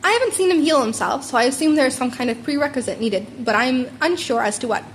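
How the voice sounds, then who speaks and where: An earnest, prissy teenage boy from a storybook reading, done by a woman. He speaks formally in a higher register. Some background noise is present. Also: lively intonation, quick pace. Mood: earnest curiosity. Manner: formal, scolding.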